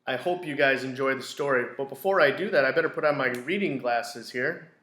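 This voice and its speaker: A man reading a children's picture book aloud in a deliberate, expressive storytelling way. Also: medium pitch. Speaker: school read-aloud storyteller. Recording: roomy, echoey indoor sound.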